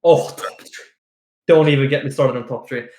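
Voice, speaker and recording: A man's voice: mid-range voice, moderate pace, a little mellow, roomy sound. A man, a guest on a stream, chatting playfully with giggles and lots of restarts. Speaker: guest, esports player. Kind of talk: casual chat on a stream.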